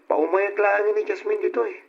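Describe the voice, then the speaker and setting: A man's voice: high voice, steady tone, normal speed, vocal fry. A man playing a respectful, troubled young grandson in a radio drama, complaining earnestly about his home life. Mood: earnest, aggrieved. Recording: dull, lo-fi old radio recording.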